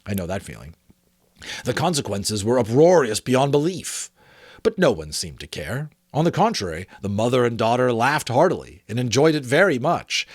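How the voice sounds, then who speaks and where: A man reading an audiobook as a dramatic, expressive storyteller, with casual asides. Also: mid-range voice, husky, no echo, studio quality. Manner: expressive, theatrical. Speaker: amateur audiobook narrator.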